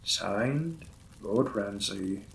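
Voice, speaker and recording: A man playing a gentle, apologetic Victorian vampire lord in a romantic audio roleplay, speaking formally and tenderly as a courteous new husband. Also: lowish voice, lively intonation, very slow, vocal fry, slight background noise, exaggerated voice. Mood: formal, contrite.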